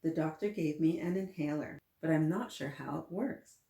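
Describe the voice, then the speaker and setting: A woman's voice: low-pitched, moderate pace, creaky, mellow. A woman voicing an earnest, slightly unsure young boy in a children's storybook, in a simple manner. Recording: roomy, echoing room sound.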